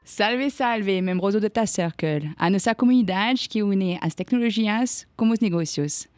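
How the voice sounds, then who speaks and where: A woman's voice: mid-range voice, measured pace, a little mellow. A woman hosting a business podcast in casual conversation, welcoming and introducing her guests with fillers along the way. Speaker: podcast host.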